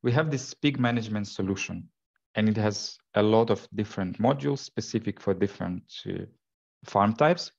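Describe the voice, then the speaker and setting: A man's voice: fairly low pitch, normal speed, creaky. A man from Ukraine, giving a product presentation in English with many fillers and false starts. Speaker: software sales and technical support presenter. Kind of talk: presentation at an info day. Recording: dull lo-fi recording.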